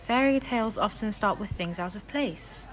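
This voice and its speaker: A woman acting as a knowing young fairytale guide who is secretly scheming. Her voice sits at a medium pitch and she presses and probes in audio drama style. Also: lively intonation, moderate pace, some chatter behind, close to an everyday voice, low-quality recording. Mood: probing. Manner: probing, insistent.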